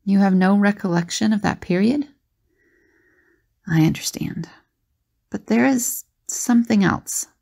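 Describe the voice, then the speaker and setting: Creaky-voiced, perceptive woman played by an actress. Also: medium pitch, unhurried, long pauses, darkish tone. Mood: concerned, probing. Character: curious, perceptive, calm female companion.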